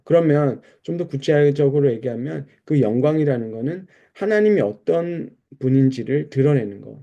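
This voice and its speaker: A man's voice: a little high, vocal fry, mellow. A man preaching in a conversational, explanatory way, walking listeners through scripture and putting questions to them. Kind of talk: expository Bible sermon, conversational teaching.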